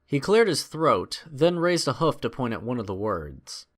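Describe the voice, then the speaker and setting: A man's voice: medium pitch, big pitch swings, normal speed. A man narrating a scripted adventure story in a dramatic storytelling style.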